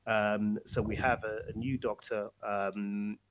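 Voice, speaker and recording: Low-voiced podcast host with a cold. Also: male voice, little pitch movement, slow, lo-fi sound, very noisy. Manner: easygoing, many fillers. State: has a cold. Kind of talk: casual podcast chat.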